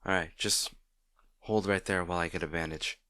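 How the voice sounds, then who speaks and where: A man playing a gentle, protective boyfriend in an intimate roleplay audio, speaking in a tender, reassuring way. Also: low-pitched, fairly even intonation, normal speed, dry recording, clean studio sound. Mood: tender, soothing. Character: gentle, protective boyfriend comforting a distraught partner.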